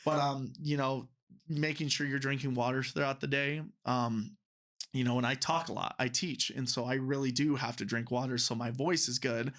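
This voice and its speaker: A man's voice: mid-range voice, steady tone, moderate pace, fairly bright tone. A man in his twenties, a referee and teacher, talking casually as a guest in an interview and rambling with lots of fillers. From United States. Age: 20s. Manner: chatty, rambling, many "you know" fillers.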